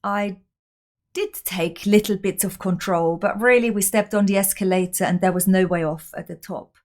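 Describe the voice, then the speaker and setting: A woman's voice: medium pitch, moderate pace, a little mellow. Woman telling her story. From Austria. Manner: open, reflective storytelling. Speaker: guest. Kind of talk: podcast interview, personal story.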